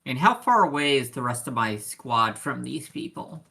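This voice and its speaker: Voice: mid-range voice, big pitch swings, moderate pace, gravelly, pushed and bright. A tabletop game player talking casually and hesitantly, cautiously working out each move and asking questions.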